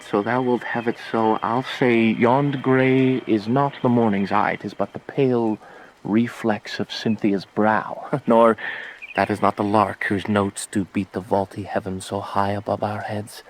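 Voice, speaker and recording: An actor playing an ardent, fatalistic young lover in a Shakespearean radio drama, with lingering, theatrical verse delivery. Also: male voice, on the low side, slight background noise, low-quality recording.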